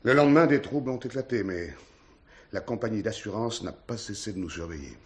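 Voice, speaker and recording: An actor playing a worried, secretive conspirator in a French radio play. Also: male voice, on the low side, expressive, brisk, a little hiss or hum, natural voice. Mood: tense, confiding. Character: secretive, worried male conspirator confiding in his accomplice.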